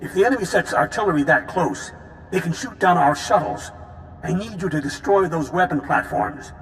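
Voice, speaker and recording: An actor playing a brisk, demanding army general in a video game, curt and authoritative. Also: male voice, fairly high pitch, lively intonation, a bit bright, a little hiss or hum, rough recording. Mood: brisk, commanding. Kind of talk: video game cutscene acting.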